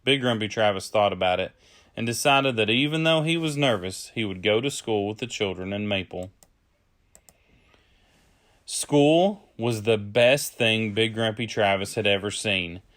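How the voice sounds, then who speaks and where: A man reading a children's story aloud as a storyteller, with deliberate phrasing. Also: on the low side, fairly bright tone. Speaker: storyteller, early childhood educator.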